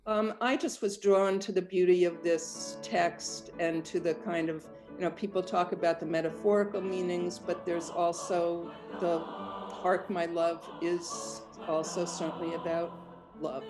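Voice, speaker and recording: A woman's voice: on the low side, steady tone, unhurried, over music, rough recording. Reflective older woman composer. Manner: reflective, searching, with frequent ums. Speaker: composer, interview guest. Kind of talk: thoughtful conversational interview.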